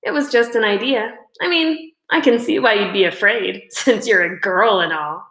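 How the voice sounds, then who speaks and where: A woman's voice: mid-range voice, normal speed, fairly bright tone, natural voice. A woman reading a children's storybook, playing an annoying, cocky schoolboy with a mocking, needling manner. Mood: mocking, teasing. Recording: roomy indoor echo.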